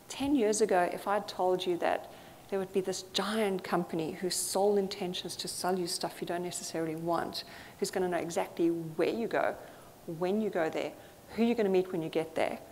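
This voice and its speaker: A woman's voice: lowish voice, a little mellow. Woman speaking in a reverberant hall. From Zambia. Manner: earnest, thoughtful, with fillers. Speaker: conference speaker. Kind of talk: conference talk. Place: conference hall. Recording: reverberant hall with faint noise.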